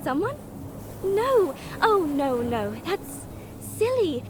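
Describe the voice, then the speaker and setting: A woman's voice: extremely high pitch, sing-song, slow pace, noisy background, heavily acted. A woman voicing a timid, sheltered young rabbit in a fantasy visual novel, hesitant and sweetly naive. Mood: flustered, dreamy. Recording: music behind the voice.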